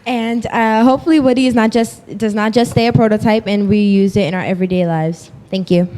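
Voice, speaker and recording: A young woman from New York presents her team's robot at an exhibition. Her delivery is earnest and partly rehearsed, and her voice sits at an ordinary pitch. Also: fairly even intonation, brisk, dark tone, a little hiss or hum. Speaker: student presenter. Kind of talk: presenting a project.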